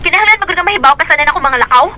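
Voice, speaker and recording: A woman's voice: sits high, animated, racing, sharp tone, a little hiss or hum, exaggerated voice. An actress with a Cebuano accent playing a distant young girlfriend who answers curtly and defensively. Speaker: radio drama actress. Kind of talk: Cebuano radio drama acting.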